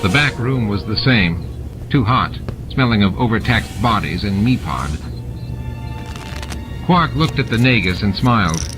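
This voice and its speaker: A man reads scripted fiction as an audiobook narrator. His voice is on the low side. Prominent music sits behind the reading, in a production dressed up with sound effects. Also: lively intonation, a little slow, long pauses, rough recording. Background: added music and sound effects.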